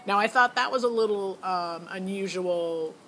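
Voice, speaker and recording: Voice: medium pitch, moderate pace, a bit bright, faint music behind, rough recording. A middle-aged parent giving a casual, candid spoken recap that rambles and quotes past conversations. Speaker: parent reviewing a child's talent show audition.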